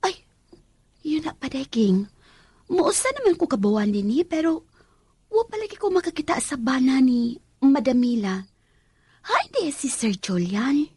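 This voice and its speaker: A woman's voice: high-pitched, sing-song, unhurried, pauses often, dark tone, slightly rough, no echo, heavily acted. A woman with a Filipino Cebuano accent playing a naive, easily awed young housemaid in a radio drama. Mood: chatty, weary. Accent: Filipino, Cebuano-speaking. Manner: wide-eyed, exclaiming, girlish.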